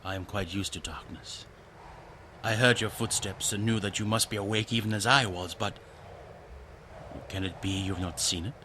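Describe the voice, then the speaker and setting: A man's voice: on the low side, steady tone, moderate pace, bright, forceful tone, slight background noise. An actor playing a morbid, brooding nobleman sinking into madness in a gothic radio play, intense and ominous.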